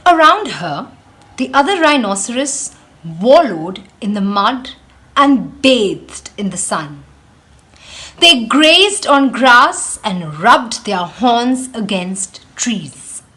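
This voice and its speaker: A woman reading a children's storybook aloud with expressive, deliberate, dramatic phrasing. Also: fairly high pitch. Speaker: read-aloud host, storyteller.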